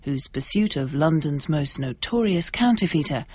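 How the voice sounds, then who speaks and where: A woman's voice: deep voice, animated, moderate pace, a little mellow, breathy edge, dry recording. A woman reading a scripted introduction as a radio announcer. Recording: dull lo-fi radio recording, music behind.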